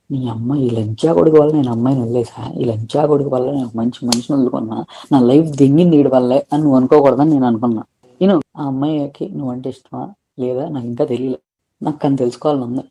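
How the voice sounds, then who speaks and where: A man's voice: fairly high pitch, mellow, breathy edge. A man in an informal private conversation on a recorded phone call, talking in a rambling, confessional and coarse way.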